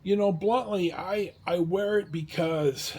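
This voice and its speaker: A man talking casually in a rambling, halting vlog style, blunt and a little salty, with a husky, rough-edged voice. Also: high voice, a little mellow. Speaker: vlogger.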